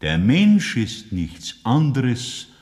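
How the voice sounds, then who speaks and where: An actor playing a preacher who delivers a solemn, rhetorical sermon in an old radio play. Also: male voice, medium pitch, huge pitch swings, drawn-out words, darkish tone, full character voice. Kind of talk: 1960s radio play acting. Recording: long hall reverb.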